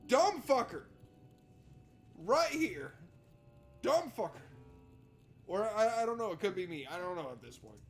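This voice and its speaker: Guy streaming a story game, highish voice thats all over the place, sarcastic and sweary, bit of music behind. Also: very animated, unhurried, pauses often. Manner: sarcastic, exasperated, swearing heckling. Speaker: streamer playing and reading a visual novel. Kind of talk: let's play commentary with read-aloud narration.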